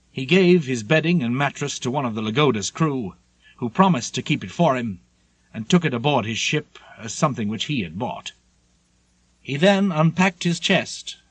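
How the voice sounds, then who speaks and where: A man with a husky, slightly rough voice reads an audiobook memoir in a measured storytelling style. His pitch sits in a middle male range, and the sound is dry, with no room around it. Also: no echo. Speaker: audiobook narrator. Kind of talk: scripted narration of a seafaring memoir.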